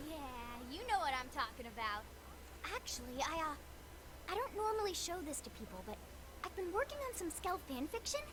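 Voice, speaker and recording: Geeky excited game girl, high voice, super eager and gushy, noisy background. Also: brisk. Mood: shyly eager. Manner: gushing, eager, nerdy. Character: excitable geeky young girl, gushing over giant robots. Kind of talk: English-language video game voice acting.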